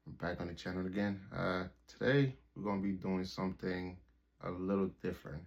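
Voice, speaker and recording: A man's voice: low-pitched, steady tone, brisk, vocal fry. A man in his twenties from Liberia, telling a personal story to his channel in a loose, rambling way with plenty of "like" and cursing. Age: 20s. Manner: rambling, loose and profane, full of "like" and restarts. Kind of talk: casual storytelling vlog. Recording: dull lo-fi recording.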